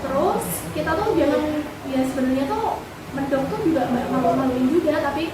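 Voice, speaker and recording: A woman's voice: high voice, expressive, fairly quick. A woman with a light Javanese accent, chatting casually as a guest speaker and giving advice with many fillers. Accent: Javanese-tinged Indonesian. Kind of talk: casual interview answers. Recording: echoey room from far off, noisy.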